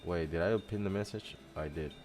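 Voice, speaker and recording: A male streamer from the Dominican Republic talks in a relaxed, slangy way, often thanking his viewers. His voice sits low. Soft music plays quietly behind him. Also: moderate pace. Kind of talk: casual live chat reacting to a video.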